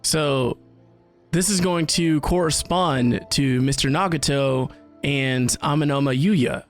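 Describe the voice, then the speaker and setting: A man hosting a casual game reaction, commenting as he plays with playful, expressive exclamations. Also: on the high side, slow pace, soft background music. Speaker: gaming reaction host.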